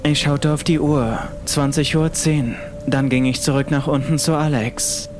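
A man's voice: a little high, fairly even intonation, unhurried. A man reading a scripted horror story as the narrator of an audiobook. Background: music.

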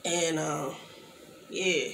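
A young woman chatting casually to the camera in a small room, clearing her throat now and then. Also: sits low. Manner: slow, relaxed talk with frequent throat clearing. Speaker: channel host. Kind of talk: casual vlog chat. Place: small indoor room, plain backdrop.

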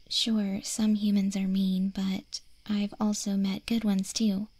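A woman playing a friendly, curious young wolf girl in an ASMR roleplay, speaking softly and gently in a breathy, hesitant near-whisper. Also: medium pitch, dry recording. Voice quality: soft, breathy, mostly whispered.

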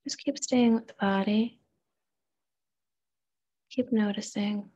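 Husky, even-toned woman guiding meditation. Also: mid-range voice. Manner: gentle, unhurried, with pauses and open questions. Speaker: therapist leading a guided meditation. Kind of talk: guided meditation.

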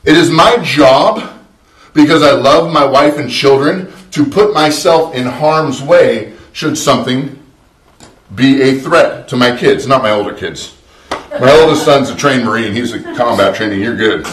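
A man's voice: medium pitch, measured pace, a bit bright. Middle-aged male pastor in an echoey sanctuary. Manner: earnest, conversational preaching with frequent restarts. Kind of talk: sermon. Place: small church sanctuary, indoors. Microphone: far camera, no visible mic. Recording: roomy echo from far off.

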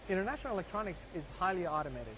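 A man's voice: high-pitched, very animated, rapid-fire. A man speaking as a company spokesman in a promotional interview. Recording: clear background noise.

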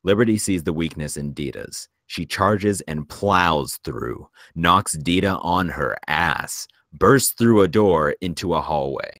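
A man reading screenplay stage directions as narrator, in a measured, descriptive way. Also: sits low, dry recording, clean studio sound.

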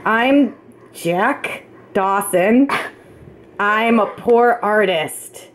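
A woman's voice: medium pitch, extremely slow, a little hiss or hum. A woman voicing a hot-tempered, blunt and irritable teenage boy student in an amateur puppet show. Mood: irritated, blunt.

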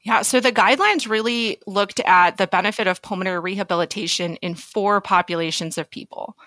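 A woman speaks as a medical expert being interviewed. Her voice sits at a medium pitch, and she explains in an orderly, measured manner. The sound is dry, with little room in it. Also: fairly bright tone, dry recording. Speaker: physician expert guest. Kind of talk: podcast interview, explaining clinical guidelines.

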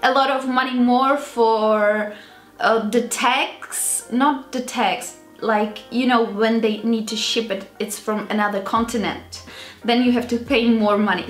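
Young woman, Czech accent, high voice, chatty rambling vlog style, slightly unhurried pace, roomy sound. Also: fairly even intonation. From Czech Republic. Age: 20s. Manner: chatty, rambling, self-mocking. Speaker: video blogger presenting a clothing haul. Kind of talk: casual chat to camera. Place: small indoor beauty room with vanity. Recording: roomy sound, music underneath.

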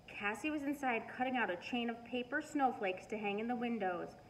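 Female storyteller, highish voice, expressive picture-book read, noisy living room recording. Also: a little fast. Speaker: teacher reading aloud. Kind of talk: children's storybook read-aloud.

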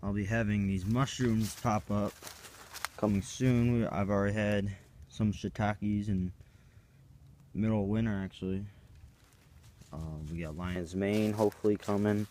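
Young man, farm vlogger, slow mellow delivery, loose and unsure, outdoor phone audio with faint noise. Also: lowish voice, steady tone. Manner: rambling, hesitant, unsure of plans. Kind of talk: casual walkaround tour. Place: outdoors on a farm yard and woods.

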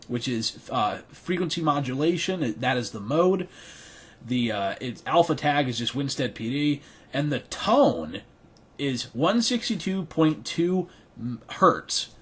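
A man giving a casual hands-on tutorial as a video creator, thinking aloud haltingly in a small bedroom. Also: medium pitch, slightly rough. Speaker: video creator demonstrating radio gear.

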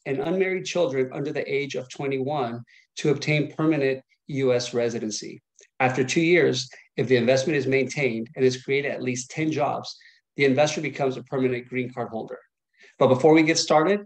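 A man's voice: mid-range voice, poor audio. A man opens a business webinar as its host. His delivery is polished and presenter-like, with a mid-range voice. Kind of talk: hosting a webinar.

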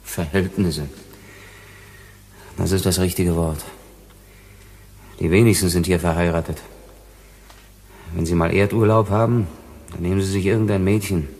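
A man acting in a German radio play as a gruff, distrustful, bitter worker on a space colony. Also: low voice, fairly even intonation, normal speed, long pauses, a little mellow, slight background noise. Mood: gruff, cynical. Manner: gruff, grudging. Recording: voice in long hall-like reverb.

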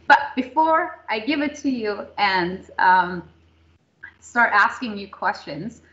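A woman's voice: medium pitch, animated, takes it slowly, a bit bright. Woman hosting over a muffled video call. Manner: chatty, friendly, with filler words like "like". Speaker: host. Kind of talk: livestream interview.

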